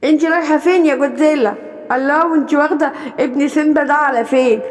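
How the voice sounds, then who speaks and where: A woman's voice: sits high, fairly even intonation, quick pace, natural voice. A woman doing a suspicious forest animal neighbor. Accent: Egyptian Arabic. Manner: questioning, suspicious. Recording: long hall reverb.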